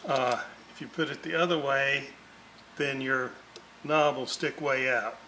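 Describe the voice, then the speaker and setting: Slow-talking man over clear background noise. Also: mid-range voice, fairly even intonation, pushed and bright. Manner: halting, thinking aloud while working. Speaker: hobbyist restorer presenting a repair video. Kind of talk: unscripted hands-on workbench demonstration. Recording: noisy room recording with clear background noise.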